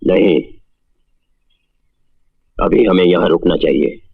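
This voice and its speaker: A man's voice: low-pitched, vocal fry, exaggerated voice. A man playing a sinister, imperious snake-charmer guru, pronouncing his lines pompously in a melodramatic film spoof. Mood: solemn, commanding. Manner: pompous, ominous, drawn-out pronouncements. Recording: dull lo-fi sound with music underneath.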